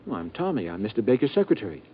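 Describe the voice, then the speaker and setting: A jittery, stammering young secretary. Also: male voice, lowish voice, sing-song, breathy edge. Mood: flustered. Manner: stammering, hurried. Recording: muffled old radio recording.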